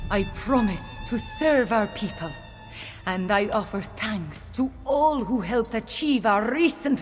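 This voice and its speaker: An actress voicing a young catfolk queen in a video game, speaking in a measured, earnest and formal way, regal and grateful. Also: mid-range voice. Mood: solemn, proud. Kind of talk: scripted video game voice acting.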